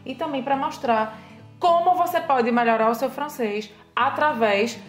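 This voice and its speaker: Brazilian woman co-host, a little high-pitched, lively explainer, quick bursts. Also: soft background music. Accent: Brazilian Portuguese. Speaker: French teacher, co-host. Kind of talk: casual language lesson.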